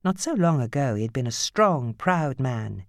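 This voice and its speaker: A woman narrating fiction from a script as an audiobook reader, with a measured, grave and dramatic storytelling manner. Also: extremely low pitch, sing-song, dry recording, studio quality.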